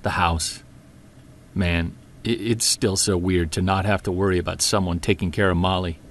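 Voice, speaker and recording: An actor playing a weary, stubborn investigator in an audio drama, earnest and confiding. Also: male voice, deep voice, fairly even intonation, moderate pace, a little hiss or hum. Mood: weary, reflective. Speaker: lead actor and narrator. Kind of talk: naturalistic audio drama acting with first-person narration.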